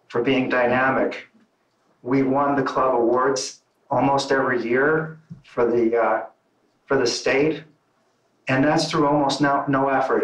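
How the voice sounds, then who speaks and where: A man's voice: medium pitch, a bit bright. A man speaking to a club meeting with enthusiasm, repeating his phrases for emphasis. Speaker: club member. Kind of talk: informal speech to a club meeting. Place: meeting room. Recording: roomy sound from a distant mic.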